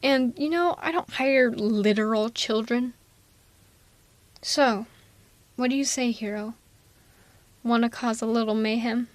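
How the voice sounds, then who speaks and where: A woman's voice: a little high, measured pace, long pauses, no room sound, natural voice. A woman playing a sardonic but protective villainess in an intimate ASMR audio roleplay, coaxing one listener in a wry, persuasive way. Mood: persuasive, concerned.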